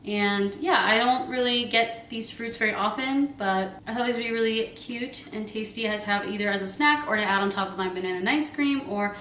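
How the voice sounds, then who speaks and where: A woman's voice: a little high, brisk, hardly any pauses, fairly bright tone, a little hiss or hum. Bubbly young woman in a roomy kitchen. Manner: bubbly, giggly, rambling with many fillers. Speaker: vlogger. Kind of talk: casual chatty grocery haul. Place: home kitchen, indoors. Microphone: camera a few meters away. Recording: roomy kitchen sound, camera at a distance.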